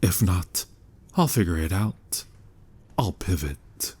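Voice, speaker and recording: Husky, slow-talking young mafia charmer played by a man. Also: sits low, expressive, darkish tone, heavily acted. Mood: smug, playful. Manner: playful, smooth-talking, mock-sincere. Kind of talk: ASMR boyfriend-style audio roleplay.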